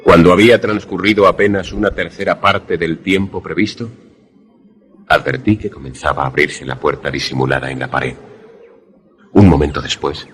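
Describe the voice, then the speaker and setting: Actor as troubled male narrator, very low bass voice, grave, measured, literary, suspense radio play. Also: fairly bright tone. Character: troubled man recounting an eerie encounter.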